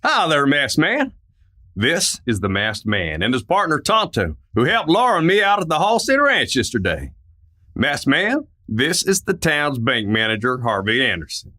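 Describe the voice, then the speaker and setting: Bright, excitable folksy sheriff played by a man. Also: medium pitch, moderate pace, exaggerated voice. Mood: hearty, friendly. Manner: folksy frontier slang, stammering drawl. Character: folksy, excitable Old West sheriff, friendly and loyal. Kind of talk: old-time western radio play acting.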